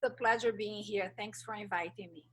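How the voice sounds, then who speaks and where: A woman's voice: medium pitch, fairly even intonation, fairly quick, slightly rough. A middle-aged woman from Brazil, a native Portuguese speaker, talking as a guest coach on a podcast in a thoughtful, hesitant way with many fillers. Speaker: guest, life transitions coach. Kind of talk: podcast interview.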